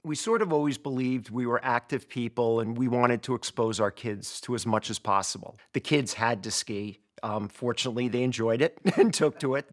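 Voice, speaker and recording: A man's voice: medium pitch, moderate pace, hardly any pauses. A middle-aged man speaking reflectively in a documentary interview. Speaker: interviewee, father.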